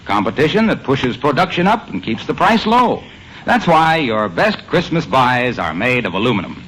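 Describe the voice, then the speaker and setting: An actor playing a chummy, persistent salesman pal, giving a sponsor pitch inside an old radio comedy. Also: very animated, normal speed, fairly bright tone. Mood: persuasive. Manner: chummy, persuasive. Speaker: radio show announcer and pitchman. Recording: noisy old radio broadcast recording.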